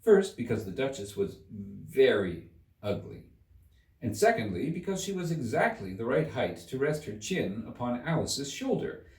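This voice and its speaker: A man reading a story aloud as an audiobook narrator, in an expressive scripted storytelling style. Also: medium pitch, normal speed. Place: room inside a museum. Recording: roomy, echoey, distant from the mic.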